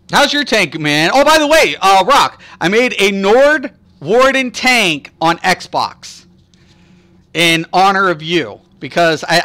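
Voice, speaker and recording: A man's voice: high voice, pushed and bright, clear, resonant tone. A man streaming a game, chatting casually and rambling with lots of fillers while thanking his viewers. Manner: talks to chat viewers by name; laughs often, playful. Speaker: gaming streamer. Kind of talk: casual live-stream chat.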